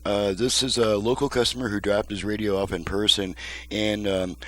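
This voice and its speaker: A man's voice: on the low side, flat delivery, moderate pace, fairly bright tone. A man giving a casual hands-on demonstration as a repair technician, explaining methodically in plain words, step by step. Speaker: radio repair technician, video host.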